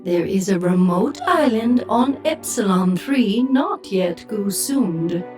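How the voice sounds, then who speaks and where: A woman acting a cold, commanding figure in an audio drama, speaking deliberately. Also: medium pitch, expressive, a little mellow, soft background music.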